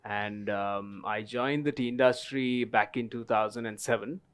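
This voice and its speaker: A man from Sri Lanka in his thirties speaks at a live festival panel. His voice sits low and comes across smooth and resonant. He tells his story in an easy, rambling way, with plenty of ums along the way. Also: steady tone, unhurried, a bit bright. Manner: storytelling, many fillers, laughs at own story. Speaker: tea company guest. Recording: live festival stage talk.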